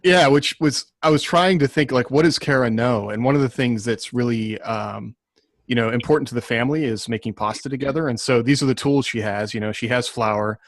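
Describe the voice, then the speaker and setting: A man with a mid-range voice talks casually as an author on a discussion panel. He is reflective and halting, working out his thoughts as he goes. The sound is close and dry. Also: medium pitch, no room sound. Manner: reflective, halting, thinking aloud.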